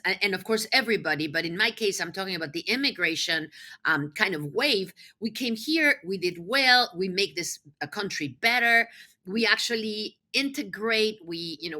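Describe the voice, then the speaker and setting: A woman's voice: medium pitch, bright, forceful tone. A middle-aged woman from Mexico City, a native Spanish speaker, answering interview questions conversationally with enthusiasm and emphasis. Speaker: podcast guest, nonprofit executive.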